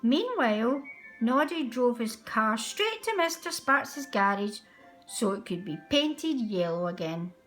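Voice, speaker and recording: A woman narrating a children's storybook aloud in an expressive, deliberate way. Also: a little high, faint music behind, low-quality recording.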